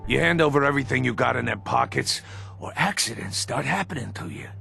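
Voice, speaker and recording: An actor playing a sleazy street thug shaking people down in a video game, taunting and bullying in a gravelly voice. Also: male voice, mid-range voice, lively intonation, a little fast, sharp tone, soft background music, heavily acted. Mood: taunting menace. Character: sleazy, menacing male street thug extortionist. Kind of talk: video game villain acting.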